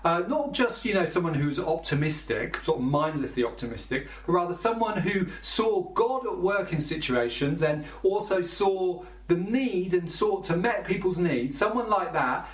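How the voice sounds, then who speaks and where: A man's voice: high-pitched, lively intonation, moderate pace, hardly any pauses. A man preaching a sermon, explaining scripture in a conversational way with small restarts. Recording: roomy, from a distance.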